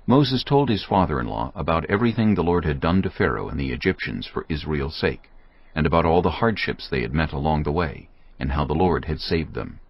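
A man narrating scripture in a measured, expressive reading. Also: very deep voice, slightly rough, no echo, dull audio.

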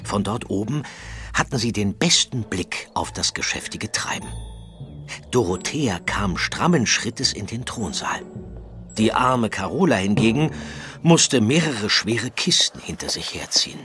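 A man's voice: lowish voice, sing-song, measured pace, a bit bright, soft background music. A man narrating a children's audio drama as a storyteller, in scripted storybook style. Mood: storytelling. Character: storybook narrator telling a children's ghost tale.

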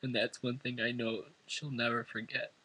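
A man's voice: mid-range voice, little pitch movement, moderate pace, sharp tone, husky, low-quality recording. A man from New York State reading a prepared eulogy at a funeral, earnest and emotional. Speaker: son giving a eulogy. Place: funeral service before family and friends.

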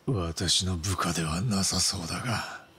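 Deep, slow, grave crime boss. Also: male voice, sharp tone, breathy edge, full character voice. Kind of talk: Japanese video game cutscene acting.

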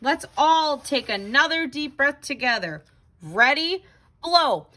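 A woman reading a children's picture book aloud in an expressive, dramatic, exclaiming way. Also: high-pitched, slow, heavy background noise. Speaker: storybook reader.

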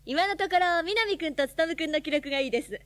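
Gym teacher, brisk, instructing, very high voice, dry radio drama recording. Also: female voice, fairly bright tone, no room sound. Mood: brisk, encouraging. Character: brisk female schoolteacher running a gym class.

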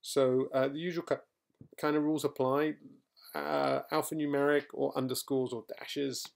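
A middle-aged man recorded at home, talking through a software tutorial as he types, with fillers and quick self-corrections. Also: medium pitch, little pitch movement, gravelly. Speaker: software trainer. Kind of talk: screencast coding tutorial. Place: quiet home room.

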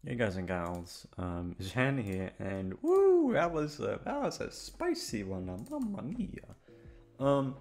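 A man's voice: medium pitch, sing-song, slow, darkish tone, soft background music. A man chatting casually to his listeners in a rambling, awkward, self-deprecating way, swearing freely. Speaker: audio roleplay voice actor, channel host. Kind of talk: casual rambling outro chat.

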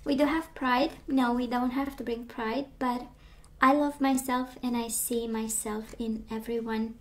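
A woman giving a casual tarot reading, chatty and reassuring, her voice strained as she loses it and clears her throat. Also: a little high, steady tone, a little slow. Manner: chatty, reassuring, many fillers and restarts. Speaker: tarot reader. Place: indoors at a table of tarot decks.

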